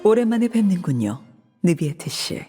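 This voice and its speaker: A woman voicing a cold, composed female leader in a video game, speaking in a measured, formal, controlled way. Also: lowish voice, sing-song, warm tone, soft background music. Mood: cool. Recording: reverberant game audio.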